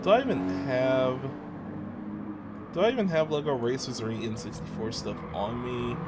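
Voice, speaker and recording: A man's voice: mid-range voice, normal speed, over loud music. A man streaming and chatting casually with a friend. He laughs often and trails off, restarting his sentences.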